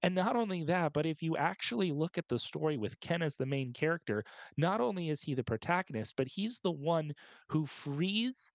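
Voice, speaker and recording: A man's voice: on the high side, expressive, normal speed, dry recording. A man speaking as a podcast panelist, explaining his points at length in a wordy, emphatic, step-by-step way.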